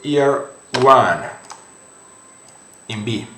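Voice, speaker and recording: A young man with an Italian accent talks slowly through a screen tutorial. He hesitates often and restarts his phrases. His voice carries a roomy echo. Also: medium pitch, expressive, sharp tone, a little hiss or hum. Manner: halting, many fillers and restarts. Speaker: software tutorial creator. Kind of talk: screen-recorded software tutorial.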